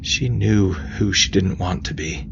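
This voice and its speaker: Very deep, gravelly male story narrator. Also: measured pace, highs cut off. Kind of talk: dramatic scripted audiobook narration. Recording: faint noise behind voice.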